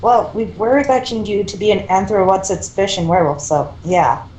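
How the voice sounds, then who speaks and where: A woman's voice: medium pitch, normal speed, a little mellow, slight background noise, natural voice. A woman voicing a wry, uneasy male government agent in an amateur fan fiction reading. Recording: roomy, echoing home recording.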